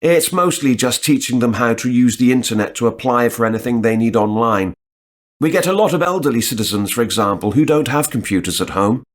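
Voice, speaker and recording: A man acting as a relaxed job applicant in a textbook listening dialogue. He answers at a mid pitch and pauses to think aloud between answers. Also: close to an everyday voice.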